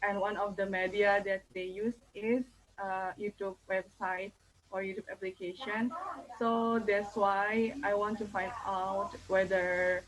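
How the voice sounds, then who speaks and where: Young woman, Indonesian accent, thesis defense talk, slow pace, halting with fillers, faint background noise. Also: mid-range voice, flat delivery, rough recording. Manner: careful, hesitant, many fillers and restarts. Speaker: thesis candidate.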